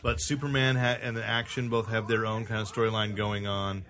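A man's voice: lowish voice, level tone, a little fast, a bit bright. A middle-aged man hosting a casual comics podcast, opinionated, with mock-solemn joking. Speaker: podcast host.

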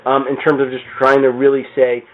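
A man's voice: medium pitch, completely flat delivery, fairly quick, slight background noise. A man in a casual comics discussion, talking in a rambling way and often saying "you know.". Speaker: podcast guest. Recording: tinny phone-like audio.

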